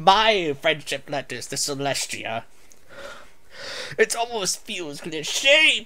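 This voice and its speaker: Man putting on a high, drawn-out, overwrought author. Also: pushed and bright, full character voice, poor audio.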